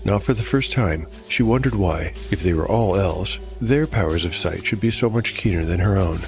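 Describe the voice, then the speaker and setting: A man narrates a dark fantasy audiodrama in a low voice. His delivery is measured and literary, with soft music underneath. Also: vocal fry. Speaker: narrator and solo game master.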